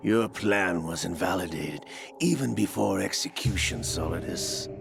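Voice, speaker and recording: An actor playing a smug, calculating villain in a video game, delivering a mocking, measured monologue in a gravelly voice. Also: male voice, deep voice. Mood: gloating, mocking. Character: smug, calculating male villain, scheming double agent. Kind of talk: dramatic video game cutscene acting. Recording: loud music behind the voice.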